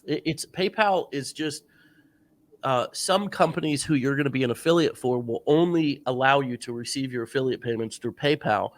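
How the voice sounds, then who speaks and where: A man with a stuffy nose and a sore throat hosts a casual interview, earnest and coaching as he gives advice. His voice is of middling pitch and the recording is dry and close, with no room sound. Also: normal speed, no room sound. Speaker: podcast host.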